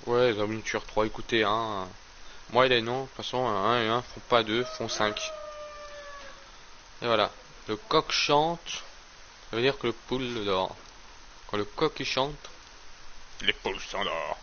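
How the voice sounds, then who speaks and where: Guy streaming a game with a cold, a bit hoarse, rambles and stumbles over words, kinda muffled lo-fi audio. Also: fairly low pitch, measured pace, long pauses, sharp tone, slight background noise. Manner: rambling, stammering with repeated words. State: has a cold, slightly hoarse. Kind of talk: casual let's play commentary. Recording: slightly dull lo-fi stream audio.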